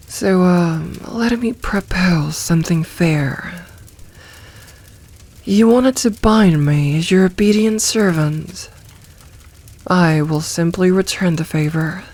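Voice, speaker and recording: A woman voicing a possessive, teasing, dominant demoness in a soft, intimate ASMR roleplay, commanding and deliberate. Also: lowish voice. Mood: musing, possessive.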